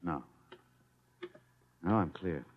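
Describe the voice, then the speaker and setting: A man's voice: sits low, lively intonation, very slow, full character voice. An American man acting in 1950s radio drama, playing a tense fugitive husband who talks firmly and soothingly. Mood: subdued. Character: tense, reassuring husband on the run. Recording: muffled, noisy old radio recording.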